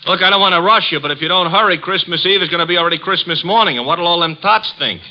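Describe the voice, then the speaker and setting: An actor playing a blunt, slangy sidekick who wisecracks in streetwise grammar, in a 1950s radio drama. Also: male voice, high voice, quick pace, natural voice. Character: blunt working-class male sidekick, wisecracking. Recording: dull old radio transcription with faint hiss.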